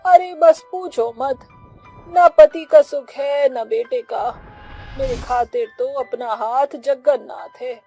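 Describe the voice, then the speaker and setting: A woman voicing a hardworking, weary middle-aged village mother-in-law in a Hindi cartoon story, with a husky, homely rustic way of talking. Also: high-pitched, slow, mellow, faint music behind, rough recording. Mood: weary, complaining.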